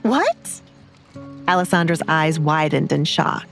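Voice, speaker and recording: A woman's voice: lowish voice, moderate pace, faint music behind. A woman narrator reading scripted romance fiction as a storyteller.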